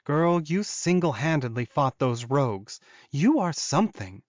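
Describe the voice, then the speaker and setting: A man voicing a bright, friendly young female wolf-shifter in an audiobook, breezy, informal and teasing. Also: on the high side, sing-song, a little slow, no echo, muffled recording, pitched above the performer's own voice.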